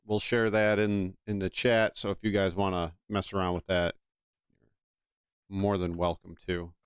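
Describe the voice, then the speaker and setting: A man's voice: low-pitched, little pitch movement, moderate pace, clear, resonant tone, dry recording. A man giving casual, rambling live commentary as a streamer reacting to a video.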